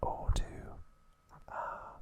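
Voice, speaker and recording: A man hosting an ASMR channel, whispering trivia softly and gently. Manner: soft unvoiced whisper, slow and gentle.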